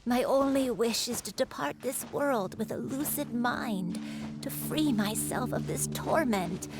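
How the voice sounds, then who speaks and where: An actress playing a frail, gentle old grandmother in a video game, wistful and humble, with a fragile voice. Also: on the high side, music in the background. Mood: resigned, wistful. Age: older. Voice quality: creaky, fragile elderly voice. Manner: slow, wistful, humbly apologetic.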